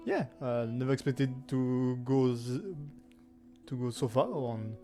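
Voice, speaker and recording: A man in his twenties with a heavy French accent talks casually and loosely, full of fillers and small restarts. His voice sits mid-pitched with a mellow, darkish tone. Faint music plays softly behind him. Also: slow pace. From France. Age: 20s. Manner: rambling, many fillers and self-corrections, frequent laughs. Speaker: gaming YouTuber. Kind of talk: casual anniversary chat to camera.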